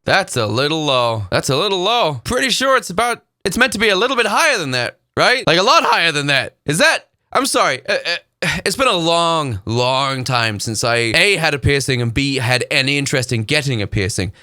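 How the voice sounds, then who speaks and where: A man hosting a casual reaction video from his desk, talking bluntly and sarcastically with big swings in his pitch. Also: very animated, brisk, sharp tone, no echo, studio quality. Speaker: YouTube reaction host. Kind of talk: casual ranting commentary.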